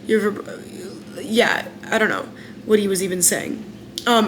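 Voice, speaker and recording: A young woman with an American accent talks straight to the camera, chatty and frank, with lots of "like" fillers. Her voice is mid-pitched and carries a faint hiss behind it. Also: fairly bright tone. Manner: candid and chatty, many "like" fillers, frank opinions. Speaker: former singing contestant recounting her experience. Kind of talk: casual storytelling vlog.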